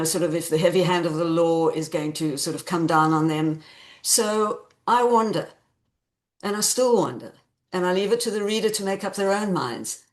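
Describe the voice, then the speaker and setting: A woman author in an interview, explaining things conversationally in a measured, reflective way with careful phrasing. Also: lowish voice.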